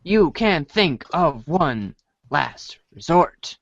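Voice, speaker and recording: Male narrator, theatrical storybook read: unhurried, very varied intonation, dry lo-fi sound. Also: fairly high pitch, big pitch swings, gravelly, background noise. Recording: dry, dull lo-fi audio.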